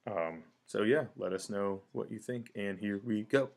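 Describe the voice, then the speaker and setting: Low-voiced man reading stage directions. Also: unhurried, vocal fry. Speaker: host and narrator of a table read.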